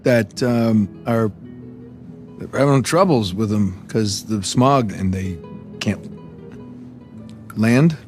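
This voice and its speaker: A hesitant low-key guy, talks slow, game cutscene, bit of music. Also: a little mellow. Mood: hesitant, casual.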